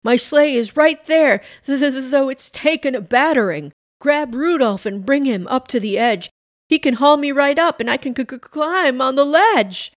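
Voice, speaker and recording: A woman's voice: mid-range voice, animated, slow, hardly any pauses, no echo. Woman acting a big jolly old man, hearty, friendly, pleading, comic storybook character, close dry sound. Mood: shivering, urgent.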